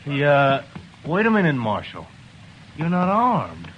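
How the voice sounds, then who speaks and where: Brief: a stammering, eager frontier helper, lively pitch, lo-fi old radio sound with music behind. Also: male voice, takes it slowly, background noise, heavily acted. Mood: earnest. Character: eager, stammering male townsman, loyal helper. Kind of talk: 1950s radio drama acting. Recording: dull lo-fi vintage radio recording.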